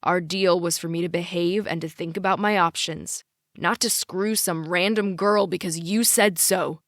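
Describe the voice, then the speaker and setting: A woman's voice: on the low side, fairly even intonation, normal speed, dry recording, clean studio sound, natural voice. A woman voicing a defiant, sarcastic young man in an audiobook, combative in his way of talking. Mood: resentful. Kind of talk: audiobook character dialogue.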